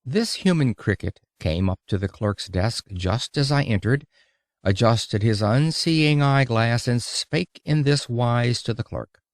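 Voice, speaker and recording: A man's voice: mid-range voice, measured pace, no room sound, studio quality. A man narrating an audiobook of an old-fashioned lecture in an emphatic, theatrical storytelling manner.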